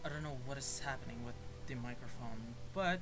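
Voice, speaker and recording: Male game streamer: medium pitch, informal playthrough commentary, off-the-cuff reactions, music in the background. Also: a little fast. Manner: informal, muttered reactions with mild swearing.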